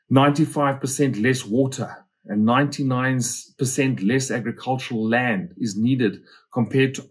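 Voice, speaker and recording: A middle-aged man with a husky voice, giving a scripted promotional presentation as a company founder. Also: medium pitch, a little mellow. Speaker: company founder and farmer presenting his farm.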